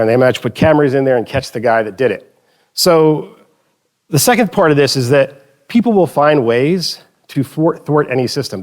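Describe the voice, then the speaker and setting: A man's voice: mid-range voice, darkish tone. A man from the Philadelphia area giving a conference talk, telling anecdotes with joking asides and often correcting himself mid-sentence. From Philadelphia area, United States. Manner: chatty, joking asides, frequent self-corrections. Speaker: conference speaker.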